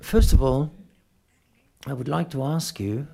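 Gravelly, mellow male interpreter. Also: medium pitch. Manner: halting, piecemeal phrasing with self-corrections. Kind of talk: live spoken interpreting at a film press conference.

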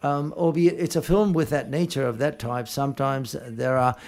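Gravelly, mellow male interpreter. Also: medium pitch, steady tone. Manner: halting, piecemeal phrasing with self-corrections. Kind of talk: live spoken interpreting at a film press conference.

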